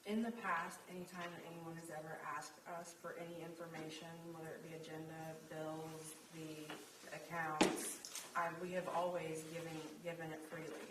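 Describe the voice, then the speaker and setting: A woman on a village board speaking firmly and slowly at a public meeting. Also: low-pitched, level tone, background noise. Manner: firm, slow, controlled. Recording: echoey room, mic far off.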